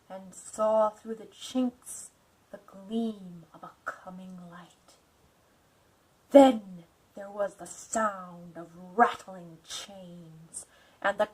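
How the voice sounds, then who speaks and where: A woman's voice: mid-range voice, low-quality recording. A woman hosting a video who reads a novel dramatically and breaks off for chatty asides full of fillers. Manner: chatty asides with fillers, theatrical when reading. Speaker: video host and reader. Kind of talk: casual commentary and dramatic reading.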